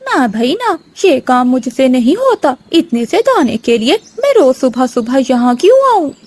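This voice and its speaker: A woman's voice: high-pitched, sing-song, normal speed, a little mellow. A woman voicing a lazy mother crow in a children's cartoon, whiny and pleading in a dramatic way. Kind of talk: Hindi children's cartoon voice acting.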